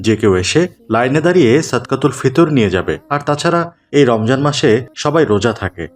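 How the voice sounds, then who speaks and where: A man's voice: mid-range voice, close to an everyday voice. A man voicing a pious, principled father who instructs earnestly in a cartoon. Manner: earnest, moralizing. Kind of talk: cartoon dialogue acting.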